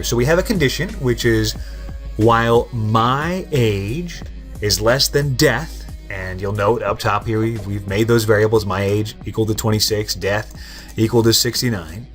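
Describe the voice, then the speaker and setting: A man's voice: mid-range voice, normal speed, faint music behind. Casual, joking young male coding tutor. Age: 20s. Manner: casual, joking, self-deprecating explainer. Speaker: programming tutorial host. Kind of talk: screen-recorded coding lesson.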